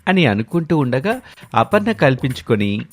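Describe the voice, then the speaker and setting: Man narrating a moral story, mid-pitched. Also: big pitch swings, moderate pace.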